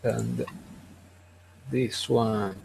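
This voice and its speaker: A man co-hosting a casual tech podcast over an online call, speaking in a hesitant, halting way. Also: mid-range voice, fairly even intonation, gravelly, background noise. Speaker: podcast co-host. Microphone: online video hangout.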